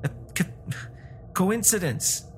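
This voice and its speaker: A man voicing a frightened, weary old husband in a story, tender but horrified, with shaky, halting speech. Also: mid-range voice, expressive, vocal fry, darkish tone, a little hiss or hum. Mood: shaken.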